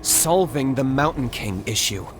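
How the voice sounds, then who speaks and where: A cool-headed young hunter from a video game, voiced by a man. He has a medium-pitched voice and talks in short, matter-of-fact, composed phrases. Music plays clearly behind him. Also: expressive, measured pace, natural voice. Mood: resolute.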